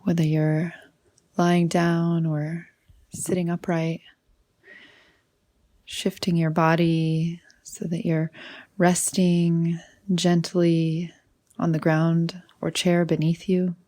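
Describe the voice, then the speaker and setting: Slow, even-toned woman guiding meditation. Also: on the low side. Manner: soothing, unhurried, often trailing into breathy softness. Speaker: meditation guide. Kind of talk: guided meditation.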